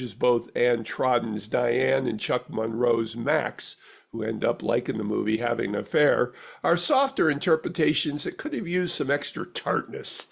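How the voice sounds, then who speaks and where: A man with a gravelly, husky voice reading a scripted audio theater review as the critic. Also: medium pitch.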